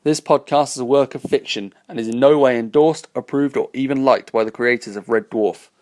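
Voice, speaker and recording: A man reading a fan-written science fiction story aloud as its narrator, with an even, unhurried delivery. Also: mid-range voice, no room sound. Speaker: reader and narrator.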